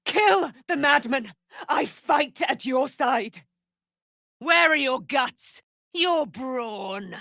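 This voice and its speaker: A woman's voice: high-pitched, lively intonation, extremely slow, gravelly, pushed and bright, no echo, clean studio sound, full character voice. An actress voicing a proud, vengeful immortal warrior woman in a fantasy game, with grand, archaic, declamatory delivery. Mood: fierce, impassioned. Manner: declamatory, archaic, grand, speaks of herself in third person. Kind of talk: dramatic fantasy game acting.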